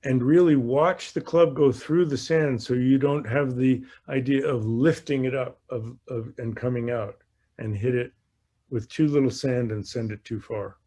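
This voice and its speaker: A man's voice: medium pitch, steady tone, moderate pace, dark tone. A man working as a golf coach, explaining things conversationally in a live question session, often repeating phrases as he goes. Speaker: golf coach hosting an online Q&A. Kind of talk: conversational instruction.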